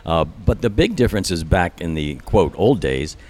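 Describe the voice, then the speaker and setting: Man in his seventies, very low voice, rambling storyteller, casual talk-show guest. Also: lively intonation, normal speed, a little mellow. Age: 70s. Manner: rambling, with many restarts and asides. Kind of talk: casual reminiscing. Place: resort dining room.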